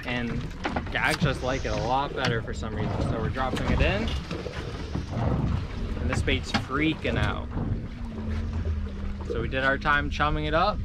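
An upbeat young man talks casually through his fishing day in a voice of ordinary middle pitch. The sound comes from a camera on an open boat, with wind, water and engine noise clearly heard behind him. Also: measured pace, pauses often. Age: young adult. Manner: upbeat and chatty, talking through tackle and gear. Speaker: fishing channel host. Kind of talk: casual fishing vlog narration. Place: open boat on the sea and a room indoors.

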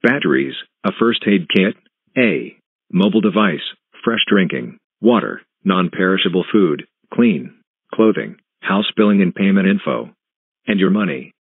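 A man reading a scripted emergency broadcast announcement in a flat, formal, synthetic manner. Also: sits low, moderate pace, no echo. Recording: tinny phone-like band, muffled husky sound.

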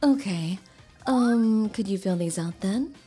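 A woman playing a polite, soft-spoken and hesitant lodge keeper in her early thirties in a manga dub. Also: mid-range voice, slow, slightly rough, soft background music.

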